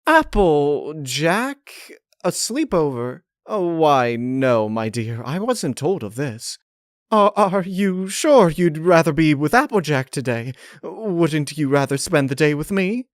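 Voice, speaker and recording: Guy doing a fancy lady pony, fake sweet, dramatic and affected, higher pitch. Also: big pitch swings, slow pace, dry recording, clean studio sound. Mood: dismayed. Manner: affected, ladylike, gushing. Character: genteel dramatic female pony, falsely sweet and scheming.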